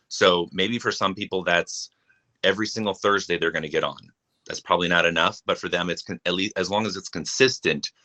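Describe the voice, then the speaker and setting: A man's voice: low voice, pushed and bright. A young adult man from Japan, a guest in a casual live-stream chat, talking earnestly and often restarting his sentences.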